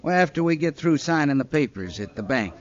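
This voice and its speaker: An actor playing an affable, folksy saloon partner in an old-time radio Western. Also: male voice, on the high side, lively intonation, fairly quick, lightly acted. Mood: friendly. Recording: dull vintage radio recording.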